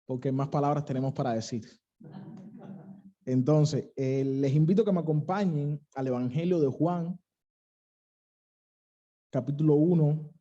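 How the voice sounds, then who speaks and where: A young man with a Cuban accent preaching an earnest sermon, pausing to stress key phrases. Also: on the high side, mellow, highs cut off. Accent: Cuban, western coastal. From Cuba.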